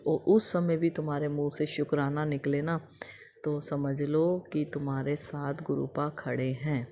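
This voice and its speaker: A middle-aged woman with a hoarse throat, sharing an emotional devotional thanksgiving testimony in a repetitive, chant-like way. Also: sits low, mellow.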